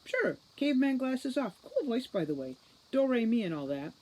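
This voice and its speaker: A giddy, scatterbrained teenage girl in a fantasy storybook, voiced by a woman reader. She sounds chirpy and childlike, pitched high, as if happily under a spell. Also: big pitch swings, normal speed, a little mellow. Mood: giddy, dazed. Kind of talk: audiobook children's fantasy character voice.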